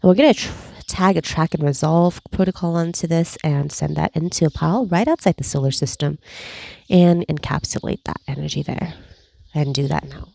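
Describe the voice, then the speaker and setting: A woman leading a guided energy clearing session, speaking slowly and soothingly, with repeated cues and breathy sighs. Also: low voice, dark tone, dry recording. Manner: slow, soothing, repetitive guiding with breathy sighs. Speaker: energy healing facilitator.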